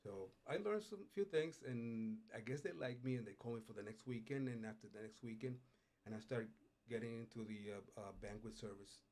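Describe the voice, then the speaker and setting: A middle-aged man from Puerto Rico, a culinary instructor, telling his life story in a relaxed way, with frequent pauses and "uh"s, in a studio interview. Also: mid-range voice. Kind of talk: casual interview. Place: radio studio.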